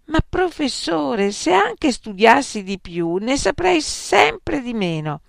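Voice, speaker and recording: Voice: sits high, very animated, takes it slowly, vocal fry, a bit bright, no echo, studio quality, voice pushed up, exaggerated voice. A reader playing a serenely stubborn young student. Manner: serene, respectfully firm. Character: calm, stubborn young male student philosopher.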